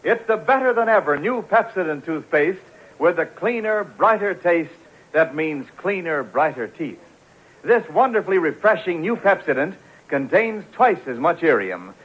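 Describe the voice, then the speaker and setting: A man's voice: on the high side, breathy edge. A man announcing and narrating from a script in the polished, formal style of 1940s radio. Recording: muffled 1940s radio broadcast.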